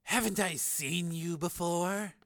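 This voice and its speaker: Audiobook colonel, acted by a man: courteous officer, crisp, lifted pitch, confident formality. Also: takes it slowly, fairly bright tone, heavily acted. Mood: polite, wry. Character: courteous, self-assured military colonel, male.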